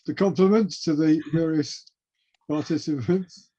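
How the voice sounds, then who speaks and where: Higher-pitched man moderating, muffled audio. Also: fairly even intonation, a little slow, dark tone. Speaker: class moderator. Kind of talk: casual chat, calling on participants.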